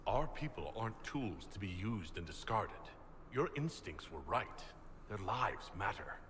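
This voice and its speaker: A deep-voiced, commanding military leader from a fantasy game, principled and loyal to his soldiers. The sound is roomy with faint noise behind it. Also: very animated, a little slow, a bit bright. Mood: resolute. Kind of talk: video game dialogue.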